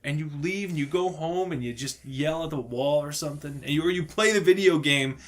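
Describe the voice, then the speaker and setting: A man's voice: fairly high pitch, a little fast. A man on a podcast panel giving casual opinions in an informal, emphatic, exaggerating way. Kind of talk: casual, animated opinion chat.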